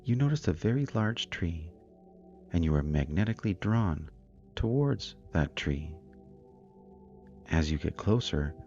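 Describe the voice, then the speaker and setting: Deep-voiced man guiding a slow meditation. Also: vocal fry, highs cut off. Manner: calm, soothing, deliberate phrasing. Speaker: guided meditation narrator. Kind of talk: scripted guided meditation narration. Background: meditation music with singing bowls.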